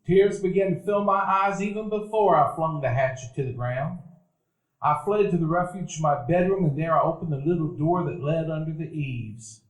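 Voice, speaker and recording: A man narrating a stage radio play as a grown man recalling his boyhood, in a measured, reflective telling. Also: fairly high pitch, natural voice. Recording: roomy event hall.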